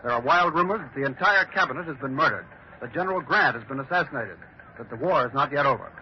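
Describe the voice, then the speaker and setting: A man's voice: mid-range voice, lively intonation, fast, lightly acted. A man acting as a solemn studio announcer in a 1940s radio drama, reading bulletins in a clipped, dramatic way. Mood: grave, dramatic. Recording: muffled, hissy 1940s radio recording.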